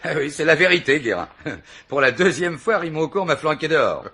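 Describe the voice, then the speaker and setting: An actor playing a dogged, unflappable police commissioner in a radio mystery, dry and gently ironic in his delivery. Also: fairly high pitch, animated, brisk, breathy edge, soft background music, exaggerated voice. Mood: easygoing. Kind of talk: French radio mystery play acting.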